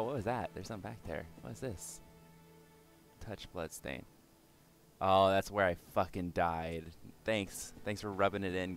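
Slightly raspy young man gaming. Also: lowish voice. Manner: swears and exclaims at the game, self-mocking. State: hoarse from yelling. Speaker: gaming channel host. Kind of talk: casual let's-play commentary.

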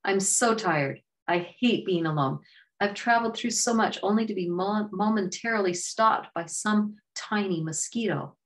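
A woman's voice: medium pitch, moderate pace, pitched above the performer's own voice, lightly acted. A woman voicing a weary young traveler. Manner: weary, frustrated, pained. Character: exhausted grieving young male traveler.

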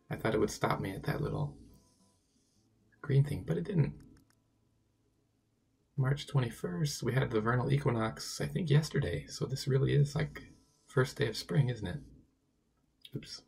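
Hoarse, gravelly man chatting while gaming. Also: medium pitch, lively intonation, moderate pace, long pauses, a little mellow. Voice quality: gravelly, raspy. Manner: chatty, talks to himself and the game. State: hoarse from a sore throat. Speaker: let's play gamer. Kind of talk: casual gameplay commentary.

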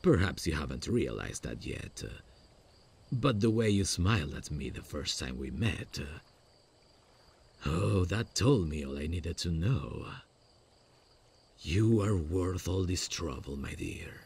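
Guy doing a creepy lovesick stalker, low n creaky, smug, halting, horror roleplay. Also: expressive, darkish tone, exaggerated voice. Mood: smug, coaxing. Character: creepy, infatuated male stalker, smugly coaxing. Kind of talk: ASMR horror parody roleplay.

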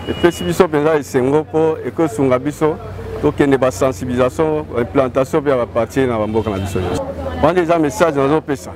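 A man's voice: mid-range voice, fast talker, noisy background. A Congolese man in his forties, a local party official, giving an interview statement. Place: covered outdoor yard at rally. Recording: outdoor gathering with loud crowd.

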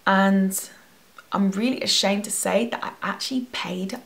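A young woman telling an animated storytime about a hair salon, filmed talking to the camera at home. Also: mid-range voice, measured pace, gravelly. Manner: animated, expressive, gesturing a lot. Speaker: hair vlogger. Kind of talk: storytime vlog. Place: bedroom at home. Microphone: camera at face distance.

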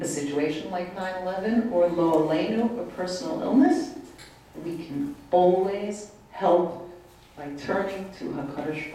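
A woman's voice: medium pitch, mellow. An older woman on a panel, telling stories earnestly and mixing Hebrew terms into her talk. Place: large hall with long table. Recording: echoey hall, distant mic.

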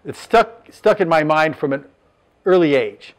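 Sixties male business owner, plain unpolished showroom pitch, a touch higher-voiced. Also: animated, measured pace. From Southern California. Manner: plain, matter-of-fact, lists measurements with fillers. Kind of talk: product presentation. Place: furniture showroom, indoors.

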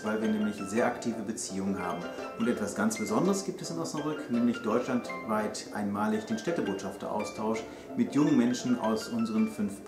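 A middle-aged man who heads a city partnership office, giving a friendly, proud welcome in an exhibition room. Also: medium pitch. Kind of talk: welcoming video introduction. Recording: roomy echo with background music.

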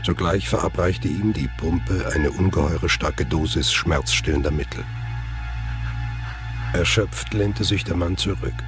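Male narrator, extremely low voice, scripted thriller storytelling over a clear music bed. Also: a little slow.

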